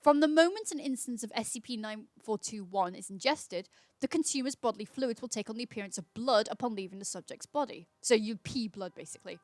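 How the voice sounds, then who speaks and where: Higher-pitched woman, casual livestream host. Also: expressive, brisk, a little mellow, dry recording. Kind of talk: casual stream chat and reading aloud.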